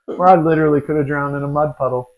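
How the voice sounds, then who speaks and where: A man's voice: a little high, rapid-fire, creaky, rough recording. A man in his forties, hosting a podcast, telling stories in casual chat with lots of swearing and "dude". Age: 40s. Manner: swearing, animated storytelling, says dude a lot. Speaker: podcast host.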